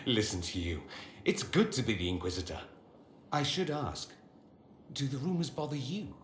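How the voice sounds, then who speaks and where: An actor playing a witty, proud mage who speaks in a theatrical, sardonic and articulate way, guarded but loyal. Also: male voice, medium pitch, lively intonation, a little slow, natural voice. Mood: amused. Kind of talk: video game dialogue acting. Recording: roomy game capture, faint background noise.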